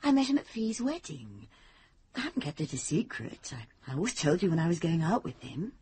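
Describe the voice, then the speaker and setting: A woman's voice: low-pitched, very animated, brisk, dark tone, husky, exaggerated voice. An actress with a British accent playing a chatty, flustered, affectionate middle-aged mother in a radio drama. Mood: flustered, coy.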